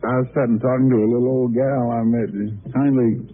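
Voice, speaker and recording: A man's voice: medium pitch, steady tone, a little fast, lightly acted. A man playing a folksy, easygoing marshal's helper with a rural American drawl, in an old radio western. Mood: sheepish. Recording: muffled, noisy old radio transcription.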